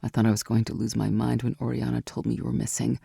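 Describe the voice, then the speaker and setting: A woman voicing a strong, protective warrior woman who speaks low and soft in a storybook. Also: little pitch movement, a little fast, gravelly, husky, no room sound, clean studio sound, heavily acted. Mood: reassuring.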